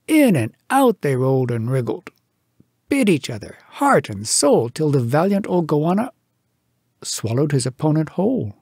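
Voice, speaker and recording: Male poetry reader, theatrical and measured, animated intonation, dry sound. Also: fairly high pitch, slightly rough, no echo. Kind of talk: dramatic storytelling recitation of humorous verse.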